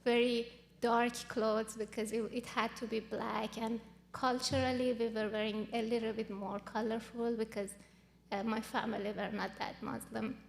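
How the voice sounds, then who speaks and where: A woman in her thirties from Iran, Farsi her first language, sharing her story earnestly with frequent pauses and fillers. Her somewhat high voice has a gravelly edge, and it rings with a long hall reverb. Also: little pitch movement. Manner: earnest, halting with many fillers. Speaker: guest. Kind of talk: personal testimony interview.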